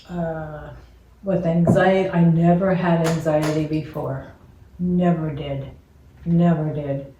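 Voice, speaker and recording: A woman's voice: low voice, a little mellow. A woman speaking plainly and repeating herself in a casual family podcast chat. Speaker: podcast co-host. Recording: echoey room, far from the mic, faint noise.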